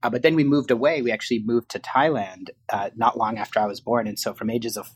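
A man's voice: medium pitch, steady tone, quick pace, breathy edge. A man in his late thirties, a guest and law professor, speaking reflectively in a podcast interview with many fillers and restarts. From New York, raised in Bangkok.

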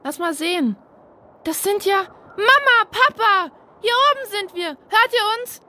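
Brave big sister, very high voice, earnest and caring, children's radio play. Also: female voice, animated, a little slow, sharp tone, full character voice. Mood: excited, calling. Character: caring, brave, devout older sister, a girl. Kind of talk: children's audio drama acting.

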